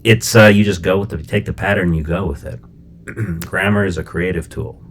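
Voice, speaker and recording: A middle-aged man from Chicago explaining a language-learning method in a relaxed, conversational way, with plenty of fillers and check-ins. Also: low voice, moderate pace, a little hiss or hum. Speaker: language teacher. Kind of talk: casual explanatory lesson.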